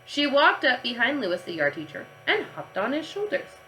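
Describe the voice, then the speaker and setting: A woman's voice: sits high, wildly swooping pitch, moderate pace, sharp tone, a little hiss or hum, rough recording. A woman reading a children's storybook aloud like a teacher to pupils. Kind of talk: animated children's storybook narration.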